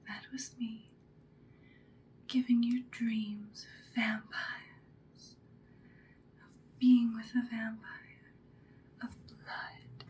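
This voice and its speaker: A woman playing a seductive vampire queen in an ASMR roleplay, whispering breathily in a soothing, predatory, deliberate way. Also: fairly high pitch, darkish tone, slight background noise. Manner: slow, deliberate, hypnotic soothing.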